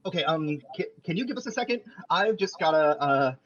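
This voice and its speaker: A man reading the part of an eager young man pushing for a new roommate at a casual table read, with a husky voice. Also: on the high side, expressive, fairly quick, a bit bright, dull audio. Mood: pleading. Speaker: podcast host reading a part.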